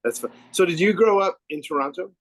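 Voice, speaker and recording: A man's voice: high voice, expressive, brisk, rough recording. A man hosting a casual music interview, laid-back, asking questions and laughing easily. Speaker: podcast host.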